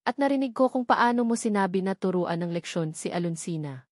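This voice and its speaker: A woman's voice: mid-range voice, animated, brisk, darkish tone, no room sound, clean studio sound, lightly acted. Woman reading as a bitter, determined grown son. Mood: firm. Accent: Filipino. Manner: firm, accusing. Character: bitter, determined adult son confronting his tycoon father. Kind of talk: storybook drama narration.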